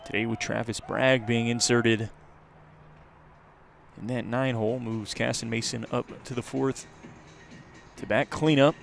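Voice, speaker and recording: A man's voice: medium pitch, fairly even intonation, unhurried, vocal fry, pauses often, soft background music. A man calling a baseball game live as a radio play-by-play announcer. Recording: outdoor ballpark crowd and ambient noise. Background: ballpark crowd.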